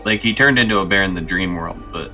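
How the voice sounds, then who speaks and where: A man's voice: low-pitched, fast talker, sharp tone. A man playing a tabletop roleplaying game, casually asking questions and describing what his character does. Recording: dull lo-fi mic, music behind.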